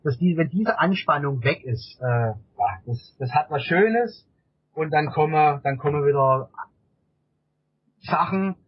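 Husky middle aged guy, bit higher pitched, chatting and stumbling over words, dull lo-fi sound. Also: expressive. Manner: halting, repeats words while searching for phrasing. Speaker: interview guest, amateur endurance cyclist. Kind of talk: casual conversational interview answers. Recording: dull, lo-fi interview audio.

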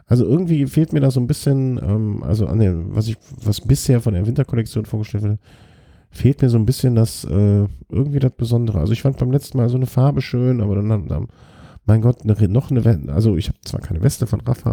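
A man with a gravelly, very dark voice chats loosely with a friend. He jokes around and keeps restarting his sentences. The sound is dry and close. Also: fairly low pitch, no room sound. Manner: joking, rambling with many restarts. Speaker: podcast co-host. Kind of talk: casual chat.

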